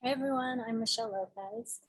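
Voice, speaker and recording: A woman artist introducing herself on a video call, in a halting, soft way. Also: on the high side, breathy edge, poor audio. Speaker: artist, professor. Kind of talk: self-introduction.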